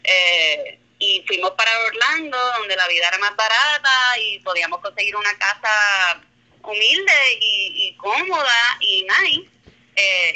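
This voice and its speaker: A woman's voice: mid-range voice, bright, forceful tone, low-quality recording. A Puerto Rican woman in her thirties, a comedian and artist, talking casually in a remote conversation, rambling and self-correcting, dropping in English words. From Puerto Rico. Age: 30s.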